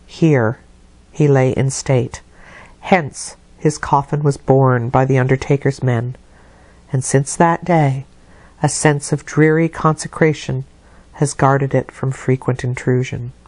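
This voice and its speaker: A woman reading a classic novel aloud as an audiobook narrator, in a measured, expressive literary delivery. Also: extremely low pitch, no room sound. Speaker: audiobook reader.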